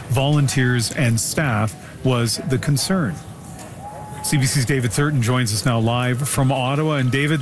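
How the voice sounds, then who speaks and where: A male news anchor, introducing stories and passing to reporters in a measured way. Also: medium pitch. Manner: measured, with occasional fillers. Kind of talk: newscast anchoring.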